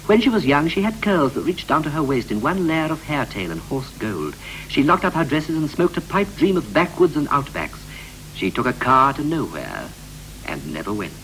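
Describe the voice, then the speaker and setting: An actor playing a wistful married man reflecting on his life in a poetic 1960s radio play, with a measured, lyrical delivery. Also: on the high side, sing-song, fairly bright tone, rough recording. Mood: animated storytelling. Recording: hissy, humming 1960s radio recording.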